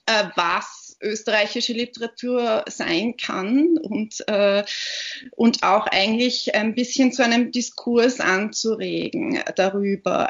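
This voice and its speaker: Austrian woman, podcast guest, measured and reflective; mid-pitched, slightly dull recording. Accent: Austrian German. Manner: thoughtful, searching for words. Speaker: podcast guest, literary platform founder. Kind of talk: conversational interview answers.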